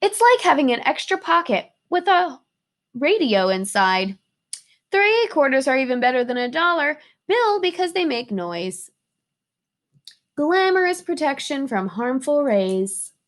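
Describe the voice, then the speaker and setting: A woman voicing an excitable, dramatic young schoolgirl in a storybook, with emphatic delivery. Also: high voice, a little slow, pitched above the performer's own voice. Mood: eager. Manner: emphatic, dramatic, repeats words for effect.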